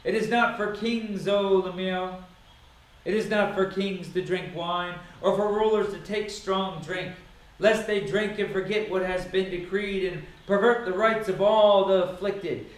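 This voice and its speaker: A man giving a dramatic, solemn reading of scripture, declaiming each phrase deliberately. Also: high-pitched. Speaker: Bible reader. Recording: very echoey, reverberant sound.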